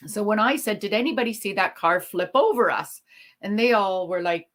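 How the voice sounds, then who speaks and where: A woman's voice: medium pitch, lively intonation, normal speed, a bit bright. A middle-aged woman hosting a livestream, telling stories in a chatty, rambling way with frequent ums. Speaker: livestream host. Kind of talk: casual chat on a livestream.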